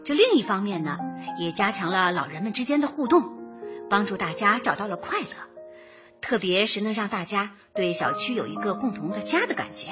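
A woman's voice: mid-range voice, animated, brisk, natural voice. An actress playing an earnest, cheerful young woman who runs a community office, coaxing tactfully in a light radio comedy. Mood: earnest, explaining. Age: young adult. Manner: eager, coaxing, speechifying in slogans. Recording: dull lo-fi audio with music behind.

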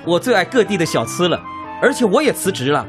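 A man's voice: high-pitched, sing-song, quick pace, over music. A man acting a polite, good-natured noodle-shop owner in his thirties, with a Taiwanese Mandarin accent and a hesitant manner, in a radio drama. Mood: shy, polite. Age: 30s. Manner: hesitant, polite, soft particles.

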